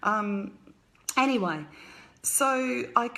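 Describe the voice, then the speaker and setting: A woman's voice: fairly high pitch, animated, takes it slowly, vocal fry, pushed and bright, low-quality recording. A woman in her fifties from Australia, with an Australian accent, chatting casually on a live stream from home at night, rambling and greeting viewers. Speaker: author hosting a live stream.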